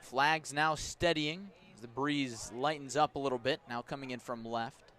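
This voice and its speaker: A man doing live baseball radio play-by-play, with a measured delivery that lifts on action calls and descriptive asides between pitches. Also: fairly bright tone. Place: outdoor ballpark broadcast position above the stands.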